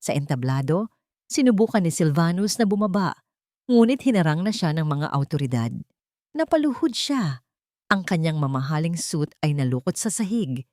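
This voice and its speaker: A woman reading a scripted love story as a narrator, in a dramatic, sentimental and expressive way. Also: sits low, moderate pace, dry recording, studio quality.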